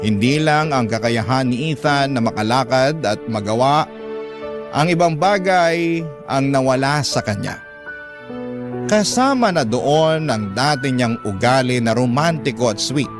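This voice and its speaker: A man reading a scripted first-person story as a radio drama host and narrator. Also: on the high side. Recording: music bed behind voice.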